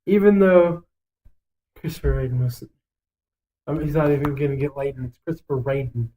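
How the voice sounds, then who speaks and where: A man's voice: fairly high pitch, fairly quick, mellow, a little hiss or hum, low-quality recording. A man co-hosting a casual podcast, talking in a blunt, foul-mouthed and emphatic way as he gives his opinions. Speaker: podcast co-host. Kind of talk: casual podcast chat, ranking list.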